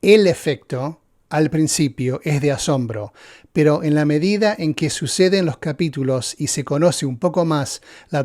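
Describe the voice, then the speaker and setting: A man from Argentina with an Argentine accent reads a text aloud and comments on it, thinking out loud with many pauses and fillers. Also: a little high, no echo, studio quality. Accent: Argentine Spanish. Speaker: channel host.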